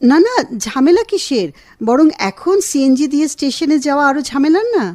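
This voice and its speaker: Mellow, gentle young wife. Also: female voice, high voice, animated, moderate pace, no room sound, studio quality. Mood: courteous, insistent. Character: gentle, grateful wife recovering from surgery. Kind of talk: Bengali radio drama acting.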